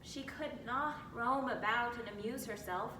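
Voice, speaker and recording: A woman giving an expressive dramatic reading of a classic novel as narrator. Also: unhurried. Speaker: reader. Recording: roomy, distant mic with rumbling noise.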